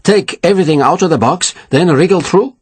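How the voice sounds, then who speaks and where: Wounded officer: man playing a practical, husky, thoughtful man. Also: fairly high pitch, fairly quick, dry recording, pitched above the performer's own voice. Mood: suggesting. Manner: thoughtful, suggesting.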